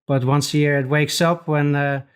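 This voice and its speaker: A man from the Netherlands with a Dutch accent, a podcast guest talking casually with frequent hesitant pauses. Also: fairly high pitch, little pitch movement, clean tone. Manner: hesitant, many pauses and restarts. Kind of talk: casual interview chat.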